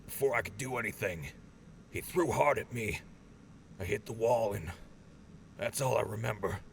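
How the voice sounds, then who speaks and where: An injured, shaken corporal, voiced by a man in an audio drama. He speaks in halting bursts with a gravelly voice, and faint noise sits behind him. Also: lowish voice. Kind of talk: fan audio drama acting.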